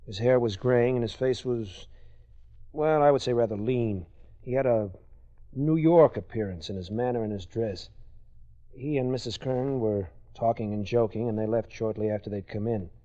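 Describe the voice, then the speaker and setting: An actor playing a careful physicist who gives halting, thoughtful witness testimony in a 1940s radio dramatization. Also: male voice, mid-range voice, warm tone, lightly acted. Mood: careful recall. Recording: dull old radio recording.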